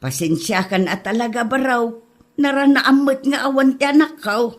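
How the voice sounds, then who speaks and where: A woman acts out a middle-aged village mother with a fairly high voice. She is apologetic and soft-hearted, performed in the manner of an Ilocano audio comedy drama. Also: moderate pace, close to an everyday voice.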